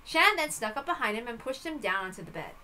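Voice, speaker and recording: A woman's voice: fairly high pitch, animated, fast, sharp tone, a little hiss or hum. A woman reading a visual novel aloud as scripted narration.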